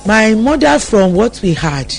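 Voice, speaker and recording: A woman's voice: medium pitch, very animated, unhurried, vocal fry, faint music behind. A middle-aged woman with a Nigerian accent telling personal stories as a radio interview guest, with an emphatic manner. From Lagos, Nigeria.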